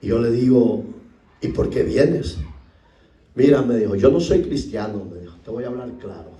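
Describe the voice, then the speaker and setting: Animated Salvadoran preacher, echoey hall. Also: male voice, a little high, far from the mic. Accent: Salvadoran Spanish. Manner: animated storytelling preacher, acting out voices and shouting at peaks. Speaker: pastor. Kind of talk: sermon. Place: church hall. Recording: echoey room, voice from off the mic.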